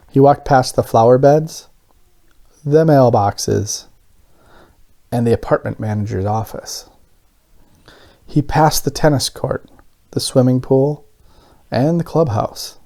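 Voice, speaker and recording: A man's voice: medium pitch, slow, gravelly, pauses often, warm tone. A man reading a children's story aloud as scripted narration. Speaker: storybook reader.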